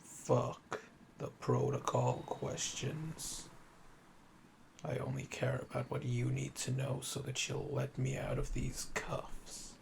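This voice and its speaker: A man acting a calm, flirtatious yandere assassin in a roleplay audio, with a gravelly voice that drops to breathy murmurs and slow, teasing, menacing delivery. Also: mid-range voice, background noise. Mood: smug, insistent. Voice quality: gravelly, fry-laden, often dropping to breathy murmur. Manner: slow, teasing, seductive, drawling pet names.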